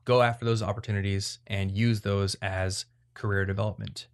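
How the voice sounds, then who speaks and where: A man with a fairly low voice hosts a casual advice podcast. He talks conversationally, explaining his points in an informal way. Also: flat delivery, moderate pace. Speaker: podcast host.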